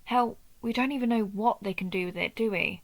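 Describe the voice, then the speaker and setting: A woman playing an injured vampire who hunts her own kind, protective, proud and wry, in a measured, intimate audio roleplay. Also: mid-range voice, lightly acted. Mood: grave, urgent. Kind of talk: scripted audio roleplay addressed to the listener.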